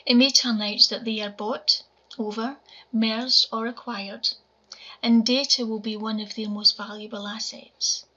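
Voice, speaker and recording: A woman in her forties with a Scottish accent and a quiet voice, giving a measured conference talk from home over a webcam. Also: a little high, level tone. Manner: measured, explanatory presenting. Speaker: conference speaker. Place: home room, indoors. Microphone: webcam video call. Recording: dull lo-fi webcam audio.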